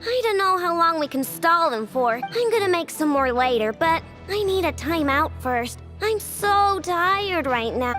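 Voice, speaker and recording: A woman voicing a small, excitable girl companion in a video game, with an expressive, whiny delivery. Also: extremely high pitch, unhurried, few pauses, music in the background, full character voice. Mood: weary, whiny. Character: small childlike female companion, excitable, snack-loving. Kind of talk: animated game dialogue acting.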